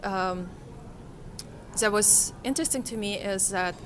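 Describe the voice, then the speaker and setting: A woman speaks slowly in an interview. She is reflective and hesitant, pausing to find her words. A faint noise of the room sits behind her. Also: medium pitch. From Kazakhstan, Central Asia. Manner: hesitant, halting, searching for words. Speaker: interviewee, conference participant.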